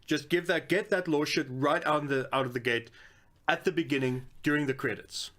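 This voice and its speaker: A man's voice: on the high side, fairly quick, fairly bright tone. A man co-hosting a podcast, talking casually in a blunt, opinionated and sweary way. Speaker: podcast co-host. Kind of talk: casual chat about films and games.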